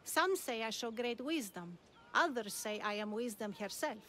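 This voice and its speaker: An actress playing an enigmatic, lofty older wise woman in a video game, speaking in a measured, cryptic, superior way. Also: on the high side, lively intonation, fairly bright tone, a little hiss or hum. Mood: lofty, knowing. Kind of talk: video game cutscene dialogue.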